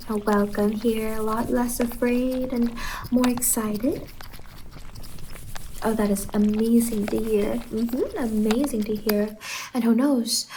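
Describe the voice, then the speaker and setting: Slow, soft-spoken woman, gentle ASMR roleplay. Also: on the high side, slight background noise, low-quality recording. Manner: gentle, reassuring, addresses listener as a client. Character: friendly whimsical female stylist in a dream-world salon.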